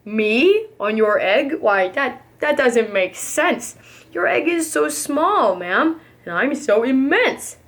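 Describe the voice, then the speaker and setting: A woman voicing a huge, gentle, faithful male elephant from a children's storybook in an earnest, deliberate manner. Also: sits high, big pitch swings, full character voice. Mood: bemused.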